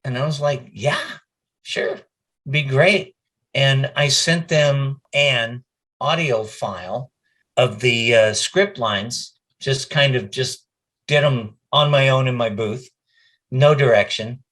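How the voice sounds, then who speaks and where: Slow-paced man chatting casually in an interview. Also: medium pitch, a bit bright. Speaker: voice actor, interview guest.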